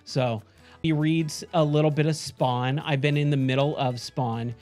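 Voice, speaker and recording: Hoarse, somewhat high-voiced male streamer; chatty, rambling sign-off to viewers over soft music. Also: fairly even intonation, measured pace. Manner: chatty, rambling, frequent self-corrections. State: hoarse, losing his voice. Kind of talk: casual end-of-stream chat with viewers.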